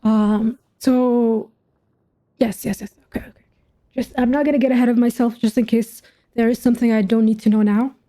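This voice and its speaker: Mellow higher-pitched woman thinking aloud. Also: steady tone, moderate pace. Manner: musing, questioning, informally sweary. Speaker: gaming streamer reacting to game story. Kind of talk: casual commentary, thinking aloud.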